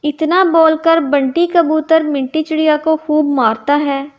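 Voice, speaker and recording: A woman's voice: high-pitched, steady tone, measured pace, lo-fi sound. A woman narrating a scripted cartoon story as its storyteller.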